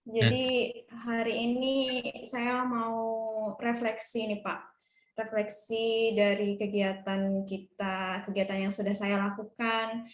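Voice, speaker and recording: A woman's voice: mid-range voice, level tone, slow pace, a little hiss or hum. A woman who teaches English, speaking politely and deferentially in a coaching conversation. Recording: echoey room.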